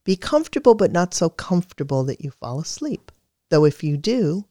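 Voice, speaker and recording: A guided meditation narrator speaks in a middle register with a measured, soothing delivery. The reading is scripted and calm. The sound is close and dry, with very little room on it. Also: mid-range voice, no room sound.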